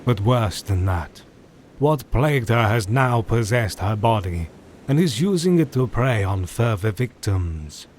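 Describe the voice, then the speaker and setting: A solemn older doctor who mentors others in a gothic audio drama. He speaks slowly and formally, with theatrical rises and falls in pitch. A faint rumble sits under the voice. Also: expressive, a little mellow. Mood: grave, urgent. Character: grave older male doctor and mentor, solemn vampire hunter.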